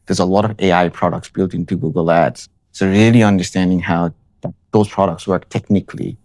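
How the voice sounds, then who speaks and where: A young man from Nepal, answering interview questions in a relaxed way, often restarting phrases with ums. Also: sits low, fairly quick, no room sound. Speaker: interviewee, account manager.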